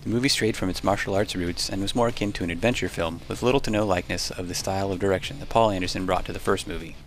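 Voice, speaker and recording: A man's voice: low-pitched, steady tone, rapid-fire, poor audio. A man reading scripted documentary narration over background music. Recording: music under the voice.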